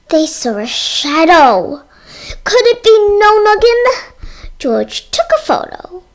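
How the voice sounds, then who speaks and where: Female read-aloud narrator, high and lively pitch, halting delivery of a children's storybook. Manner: halting reading, stumbles over words and sounds them out. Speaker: storybook reader.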